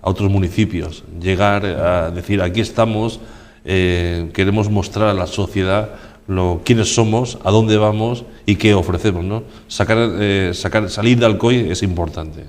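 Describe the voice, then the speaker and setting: Male interviewer, Spain accent, low pitch, conversational, recorded with long hall reverb. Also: unhurried.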